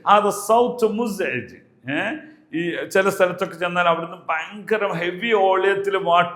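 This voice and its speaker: Male language teacher, Malayali accent, high-pitched voice, explanatory delivery with deliberate repetition, recorded in a reverberant room. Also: fairly bright tone. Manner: explanatory, repeats example phrases slowly and deliberately. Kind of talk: spoken language lesson. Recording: echoey room.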